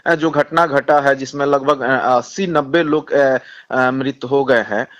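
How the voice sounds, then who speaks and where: A man with a Bihari accent speaking as a politician in a phone interview, insistent, repeating himself and halting as he argues. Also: mid-range voice, steady tone, a bit bright. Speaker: communist party politician. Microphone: phone call. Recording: dull lo-fi phone call recording.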